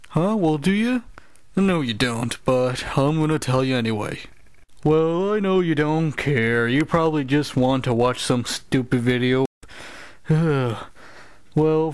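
A sulky cartoon announcer, voiced by a man, who whines and sighs his way through sarcastic gripes about being broke. His voice sits a bit high and his delivery is slow and drawn out. The sound is dry and close, with no room around it. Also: dry recording. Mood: complaining. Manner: whiny, complaining and sarcastic, with many sighs and groans. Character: grumbling, broke adult male cartoon show announcer. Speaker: show announcer. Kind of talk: comedic cartoon voice acting.

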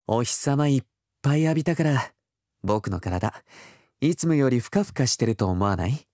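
A man playing a gentle, teasing young boyfriend in an intimate ASMR roleplay, with a soft, breathy, murmuring delivery. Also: medium pitch, expressive, no room sound, studio quality, natural voice. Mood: playful, content. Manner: slow, tender, murmuring, slipping into whispers. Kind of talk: intimate ASMR boyfriend roleplay in Japanese audio drama style.

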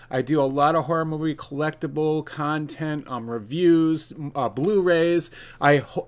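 A man in his forties presenting a casual step-by-step tech tutorial, plain-spoken and speaking directly to viewers. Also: fairly high pitch. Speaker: video creator, tutorial presenter. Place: indoor room, seated at a desk.

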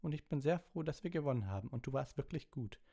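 A man voicing a studious, high-strung teenage schoolgirl in an audiobook. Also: lively intonation, quick pace, gravelly, dark tone. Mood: overwrought.

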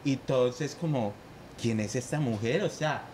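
A young man leads a relaxed group chat as director and host. His voice sits at a medium pitch, recorded on a podcast mic with faint noise behind it. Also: moderate pace. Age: young adult. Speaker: film director leading cast discussion. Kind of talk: casual podcast conversation. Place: shop with shelves of goods, indoors. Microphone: podcast mic on boom arm.

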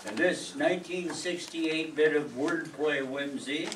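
A man's voice: fairly high pitch, a little slow. An older man announcing in a scripted old-time radio style. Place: community meeting room with audience. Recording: echoey distant mic, very noisy room.

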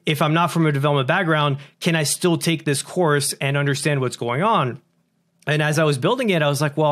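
A man in his thirties from France, a guest founder, telling stories with animated bursts and acted-out dialogue, on a broadcast mic. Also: a little high. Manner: animated, quick bursts, acts out quoted dialogue. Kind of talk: casual interview storytelling. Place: home studio with posters and lamp. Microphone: large broadcast mic on boom arm, over-ear headphones.

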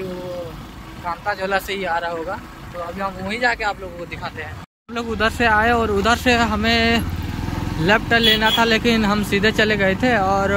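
High-voiced guy from chhattisgarh chatting to his viewers while hiking, faint outdoor hiss. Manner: chatty, addresses viewers as guys. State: tired. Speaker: travel vlogger. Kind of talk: casual vlog narration while riding and hiking. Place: outdoors on rural roads and forest trails.

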